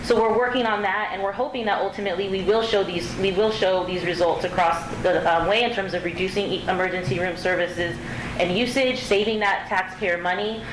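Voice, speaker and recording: A woman's voice: mid-range voice, steady tone, brisk. A woman speaking as a panelist in a panel discussion, earnest, often restarting and repeating phrases. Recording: echoey room with background noise.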